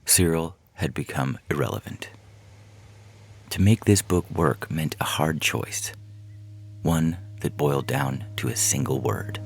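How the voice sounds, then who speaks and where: A man's voice: extremely low pitch, measured pace, vocal fry, husky. A man reading scripted fiction aloud in a dramatic narration style, as a podcast story reader. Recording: music bed behind the voice. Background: music.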